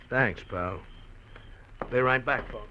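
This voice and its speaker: An actor playing a smug, threatening small-time gangster in a 1950s radio drama, talking low and slow. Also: male voice, sharp tone, slight background noise. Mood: curt. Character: menacing male small-time gangster, middle-aged. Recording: muffled 1950s radio broadcast.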